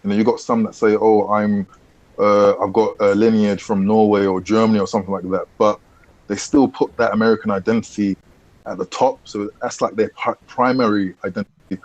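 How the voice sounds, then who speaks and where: A British man speaking as a podcast guest, explaining his points in a measured way with frequent "you know". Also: fairly low pitch, flat delivery, no room sound, lo-fi sound. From Britain. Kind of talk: casual podcast discussion.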